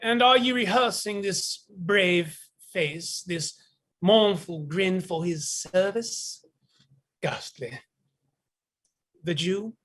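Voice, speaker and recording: A man plays a coldly haughty captain in a stage drama. His voice is high for a man and measured, each clipped, formal phrase carrying threat and disdain. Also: a bit bright, full character voice. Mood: cold, threatening. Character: menacing, haughty captain, coolly interrogating. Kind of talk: theatrical stage play reading.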